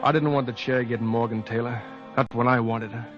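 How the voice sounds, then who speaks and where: A man's voice: medium pitch, steady tone, a little fast, a bit bright, close to an everyday voice. Brooding hardboiled cop over music , acted by a man. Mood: troubled, weary. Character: world-weary male cop narrating his own guilty downfall. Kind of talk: hardboiled first-person radio drama narration. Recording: dull vintage radio recording, music behind.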